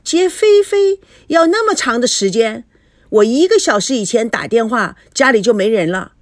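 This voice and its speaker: A jealous, sharp-tongued mother in an audio story, acted by a woman narrator. She scolds and accuses with coarse sarcasm, her high voice swinging widely in a dry, close recording. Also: expressive, normal speed, dry recording, close to an everyday voice. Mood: suspicious, griping. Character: jealous, shrewish mother, foul-tempered and suspicious.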